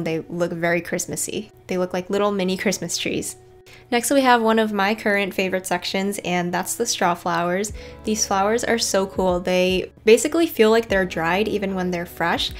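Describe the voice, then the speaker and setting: A woman narrating a casual garden tour in a chatty, informal way. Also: mid-range voice. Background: background music.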